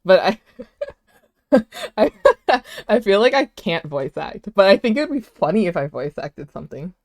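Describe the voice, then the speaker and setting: A woman, Vietnamese, talks loosely to her stream chat. Her delivery is animated and chatty, peppered with "like" and quick laughs. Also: medium pitch. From United States. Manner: animated, chatty, laughs often, many "like" fillers. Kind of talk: casual livestream chat, reading chat aloud.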